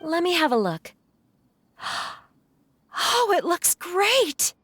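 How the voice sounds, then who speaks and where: A woman playing a cheerful young townswoman in a video game, with a breathy voice. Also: very high voice, very animated, extremely slow, a bit bright, full character voice. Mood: pleased.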